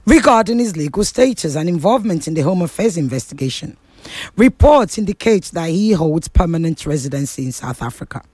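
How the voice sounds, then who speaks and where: A man's voice: fairly high pitch, lively intonation, brisk, darkish tone, dry recording. Probing male talk radio host. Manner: probing, cuts in with questions.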